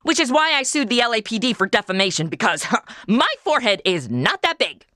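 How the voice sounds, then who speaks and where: A woman as a bright, snarky modern gal. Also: a little high, a little fast, dry recording, studio quality. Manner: snarky deadpan quips. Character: sarcastic modern city woman stuck in the Old West. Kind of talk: comedy audio drama acting.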